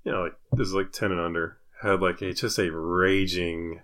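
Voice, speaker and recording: A man's voice: low-pitched, fairly even intonation, moderate pace, fairly bright tone. A man, a podcast co-host, trading casual comedic banter in a teasing, wisecracking way.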